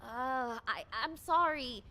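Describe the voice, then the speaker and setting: A woman's voice: sits high, slow pace, bright, forceful tone, heavily acted. An actress playing an anxious female executive officer who talks in a nervous, stammering way.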